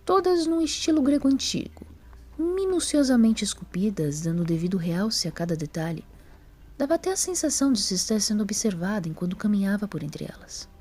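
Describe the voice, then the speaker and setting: A Brazilian-accented woman narrates scripted fiction. Her voice is dark and soft-edged, with plenty of breath in it. The pitch sits in a middle range for a woman. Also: very animated, fairly quick.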